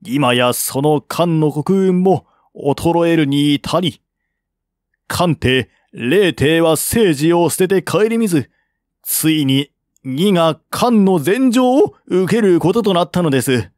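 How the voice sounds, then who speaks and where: A man's voice: fairly high pitch, lively intonation, takes it slowly, a little mellow, no room sound, clean studio sound, voice pushed up. A man voicing an earnest court envoy who argues politely and formally in historical audiobook dialogue. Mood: argumentative. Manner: formal, deferential, argumentative.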